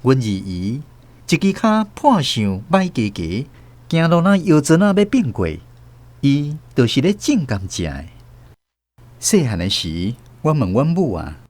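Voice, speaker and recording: A man with a Taiwanese accent narrating a radio novel in an expressive storytelling manner. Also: medium pitch, measured pace. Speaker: narrator and host.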